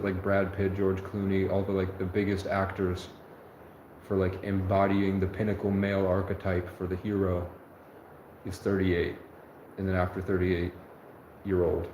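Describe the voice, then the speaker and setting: A man's voice: low-pitched, level tone, moderate pace, a little mellow. A man in his twenties rambling earnestly on a live stream, often stopping to correct himself. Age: 20s. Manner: meandering, self-correcting, earnest. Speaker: livestreamer. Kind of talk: rambling livestream monologue. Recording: echoey room with faint noise.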